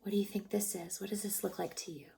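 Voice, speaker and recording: Creaky-voiced woman reading to young children. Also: medium pitch, fast, slight background noise. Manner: expressive, gently encouraging, prompting the listeners with questions. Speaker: childcare teacher reading a picture book aloud. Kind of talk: read-aloud storytime for young children, narrating pictures and asking questions.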